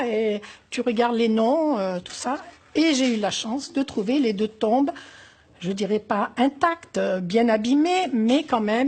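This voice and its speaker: An older woman from Algeria speaks in a slightly high voice, telling her memories slowly and thoughtfully. She hesitates often and fills the gaps with small sounds. Her tone stays wistful and reflective. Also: darkish tone, husky. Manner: hesitant, many pauses and fillers. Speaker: interviewee. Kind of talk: oral history interview.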